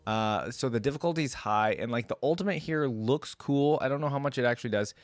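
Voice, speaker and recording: A man's voice: medium pitch, fairly quick, dry recording. A man giving casual, off-the-cuff reaction commentary as a host, musing aloud as he goes.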